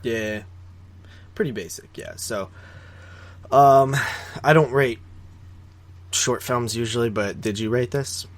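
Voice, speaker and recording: A man's voice: medium pitch, slow, pauses often, dry recording. A man co-hosting a podcast, talking about films in a casual, rambling and opinionated way, often repeating words for emphasis. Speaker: podcast co-host reviewing horror films. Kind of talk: casual conversational film discussion.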